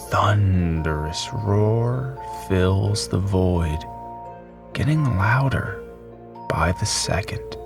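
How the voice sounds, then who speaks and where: Slow-talking man narrating over music. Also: sits low, creaky. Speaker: author narrating own short story. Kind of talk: scripted audiobook narration. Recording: music behind the voice.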